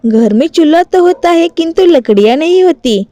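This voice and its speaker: High-voiced woman narrating a story. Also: animated, normal speed, mellow, dry recording. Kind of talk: scripted cartoon story narration.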